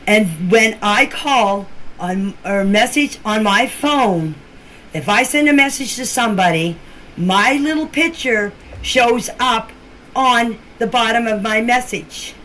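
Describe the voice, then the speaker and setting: A woman leaving informal voice messages for a group chat, explaining things in a halting, repetitive way. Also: medium pitch, a bit bright. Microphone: phone. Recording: noisy phone voice clips.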